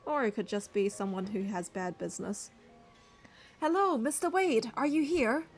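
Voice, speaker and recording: An actress playing a caring, curious young heroine who narrates and speaks in a romance game, measured and earnest. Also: female voice, on the high side, faint music behind, lightly acted. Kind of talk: first-person narration and dialogue in a romance game.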